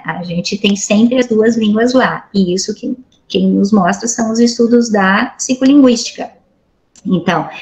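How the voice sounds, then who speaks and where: A woman's voice: medium pitch, darkish tone, highs cut off. A middle-aged woman from Brazil giving an academic lecture over a video call, explaining patiently and checking in with frequent tag questions. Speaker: guest lecturer.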